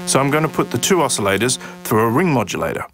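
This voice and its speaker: A man's voice: mid-range voice, sing-song, fast talker, over loud music. A middle-aged man narrating a scripted explainer as a sound designer.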